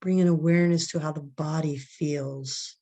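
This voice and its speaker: A woman leading a guided relaxation meditation, giving soft, calm, drawn-out breathing instructions. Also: sits low.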